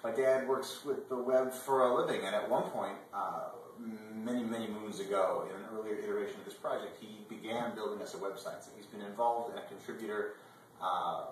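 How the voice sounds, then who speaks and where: A man in his twenties co-hosting a video blog, talking casually in a measured, earnest way. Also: lowish voice, steady tone. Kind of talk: casual talk to camera. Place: indoor dining room at a table. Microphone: far camera microphone. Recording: echoey room, distant mic, faint noise.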